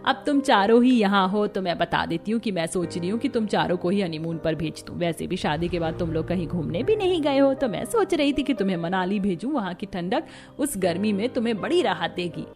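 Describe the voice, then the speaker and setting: A woman's voice: medium pitch, animated, a little fast, a little mellow, soft background music, close to an everyday voice. Woman playing an indulgent, managing mother-in-law. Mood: pleased, generous. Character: warm, managing middle-aged mother-in-law. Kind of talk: animated family drama dialogue.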